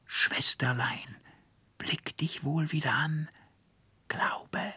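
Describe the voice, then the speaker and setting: A man voicing enchanted little female snake spirits in a breathy, hissing, slow and coaxing whisper, as in a storybook. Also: a little high, bright, forceful tone, no echo, pitched above the performer's own voice, heavily acted. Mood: eerie, coaxing.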